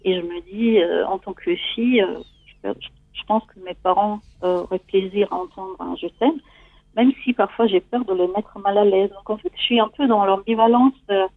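Woman, 40s, Italian accent. Hesitant, personal, conversational guest, mid-range pitch, very dry sound. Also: steady tone, normal speed, no room sound, narrow, tinny audio. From Italy. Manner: hesitant, searching for words. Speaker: guest seeking coaching.

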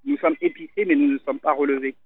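A man from Italy, a restaurant owner, talking casually on the phone in an interview, relaxed and hesitant with many fillers. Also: a little high, quick pace, vocal fry, no echo. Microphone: telephone. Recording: tinny phone line.

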